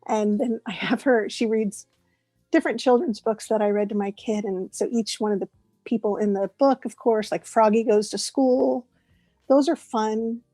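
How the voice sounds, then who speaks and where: A woman's voice: fairly high pitch, fairly even intonation, moderate pace, dry recording, soft background music. Chatty woman in her forties, dry mic. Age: 40s. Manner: chatty, warm, laughs often. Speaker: guest voice actress. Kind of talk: casual interview chat.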